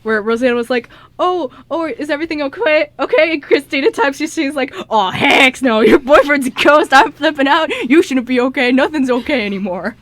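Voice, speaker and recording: Giggly young woman radio host, really high voice, bounces all over, casual chat. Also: a little fast, hardly any pauses, no room sound. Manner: giggly, animated. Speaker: radio show host and scriptwriter.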